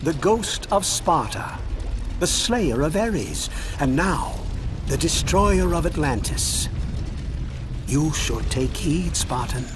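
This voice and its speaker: Slow, mocking old doomsayer. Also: male voice, on the high side, lively intonation, noisy background. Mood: scornful. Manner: taunting, theatrical, drawn-out delivery. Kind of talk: dramatic game cutscene acting. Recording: dramatic music behind.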